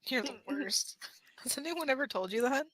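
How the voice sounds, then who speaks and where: A woman's voice: sits high, expressive, normal speed, a bit bright, no echo, low-quality recording. A woman voicing a playful, pleading, dramatic young man in a fan-made audio drama. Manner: teasing, dramatic.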